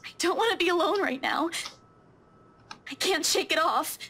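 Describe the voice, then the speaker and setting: A woman's voice: very high voice, moderate pace, sharp tone, heavily acted. A woman voicing a terrified, fragile young woman in a visual novel game, pleading breathlessly and stammering.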